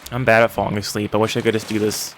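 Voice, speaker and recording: A man's voice: lowish voice, little pitch movement, fast, fairly bright tone, a little hiss or hum. A man giving casual let's play commentary in muttered asides as he plays. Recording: music and rain behind the voice.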